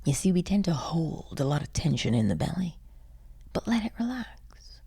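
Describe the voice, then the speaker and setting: A woman leading a guided sleep meditation in a soothing, hushed and breathy voice, leaving long pauses. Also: low voice, very animated, dry recording. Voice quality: breathy, husky.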